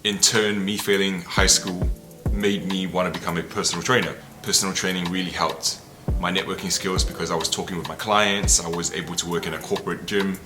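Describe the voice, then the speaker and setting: Low-voiced New man over music. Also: level tone, a little fast, few pauses. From New Zealand. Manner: reflective and candid, loose and conversational. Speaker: travel content creator. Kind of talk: casual personal storytelling to camera. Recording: voice over loud background music.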